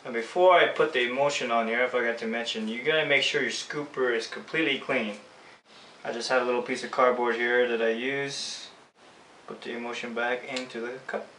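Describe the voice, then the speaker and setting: A man with a Filipino accent and a bright, forward voice gives a casual hands-on demonstration. He is picked up from a distance in an echoey room. He explains each step in an unscripted, informal way. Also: mid-range voice, fairly even intonation, a little fast. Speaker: screen printing instructor. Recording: echoey room, distant mic, faint noise.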